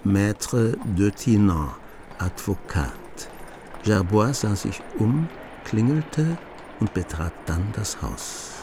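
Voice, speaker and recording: Low-voiced male radio play narrator. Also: expressive, takes it slowly, background noise.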